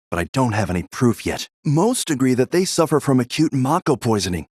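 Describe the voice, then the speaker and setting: A man's voice: medium pitch, a little mellow, no echo, lightly acted. Game NPC: earnest, suspicious reporter played by a man, mid-range voice, dry studio sound. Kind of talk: video game NPC lines.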